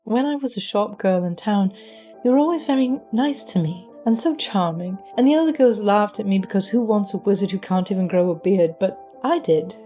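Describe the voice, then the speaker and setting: A woman's voice: medium pitch, animated, moderate pace, mellow, soft background music, close to an everyday voice. A woman playing an earnest, lovestruck young wizard's apprentice with a hesitant manner in an audio drama. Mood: confessing. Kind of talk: scripted audio drama dialogue.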